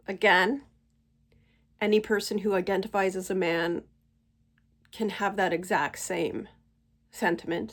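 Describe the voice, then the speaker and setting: A middle-aged woman speaks at a slow, unhurried pace, pausing often to gather her thoughts. Her voice sits in a medium pitch and is slightly congested from a cold. She talks to her camera at home in a gentle, reflective monologue. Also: creaky. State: stuffy from a cold. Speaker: channel host.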